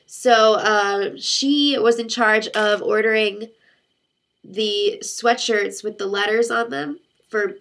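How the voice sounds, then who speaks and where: Young woman vlogger with a congested cold, crisp bright voice, chatty and rambling. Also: mid-range voice, slow pace. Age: young adult. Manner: chatty, rambling storytelling with many fillers. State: congested, sick voice. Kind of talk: casual chat to camera.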